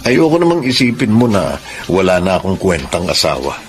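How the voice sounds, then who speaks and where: A man's voice: low-pitched, sing-song, fairly quick, slight background noise. A man playing a neglectful husband and father in a Filipino radio melodrama, earnest and dramatic. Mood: placating. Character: restless, neglectful middle-aged husband and father. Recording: loud music under the voice.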